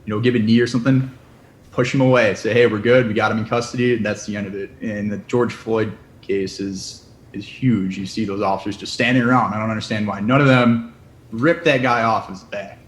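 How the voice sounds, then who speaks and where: A man's voice: on the low side, steady tone, fairly quick. A man in his twenties, a police officer, speaking in a direct, matter-of-fact way. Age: 20s. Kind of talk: interview testimony. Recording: roomy echo.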